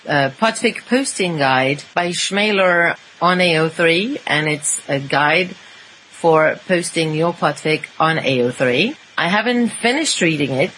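A woman's voice: fairly low pitch, fairly bright tone, slight background noise, rough recording. A woman co-hosting a fan podcast, presenting items in a conversational way. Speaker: podcast co-host.

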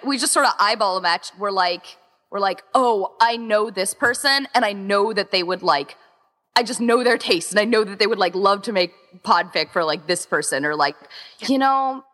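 Casting: woman, interview guest and organizer, bright crisp-edged tone, average pitch, talkative and informal, echoing recording. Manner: chatty, rambling, quotes imagined remarks. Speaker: interviewed co-founder and organizer of a fan audio exchange. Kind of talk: casual interview chat. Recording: long echoing reverb.

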